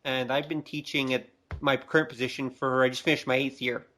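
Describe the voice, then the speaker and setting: A man's voice: mid-range voice, flat delivery, brisk, fairly bright tone. A middle-aged man talking casually as a co-host in a podcast discussion. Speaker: podcast co-host, college teacher.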